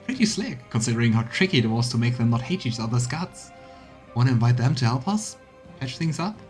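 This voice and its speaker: A man's voice: mid-range voice, sing-song, brisk, a little mellow, faint music behind, close to an everyday voice. A man voicing a breezy, sly, roguish adviser, reading video game dialogue in a slangy, offhand way.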